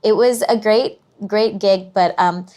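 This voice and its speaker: A woman's voice: medium pitch, steady tone, measured pace, dark tone. A middle-aged woman speaking as an interview guest, reflective and conversational, often trailing off into "you know" as she thinks. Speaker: guest, museum curator.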